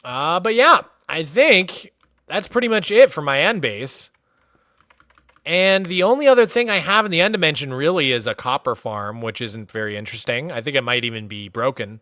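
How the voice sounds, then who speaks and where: Streamer dude from massachusetts, kinda high voice, chill rambly livestream talk, dry close mic. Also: male voice, normal speed, dry recording. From Massachusetts, USA. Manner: relaxed and chatty, many "like" fillers. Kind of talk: casual livestream commentary, touring a game world.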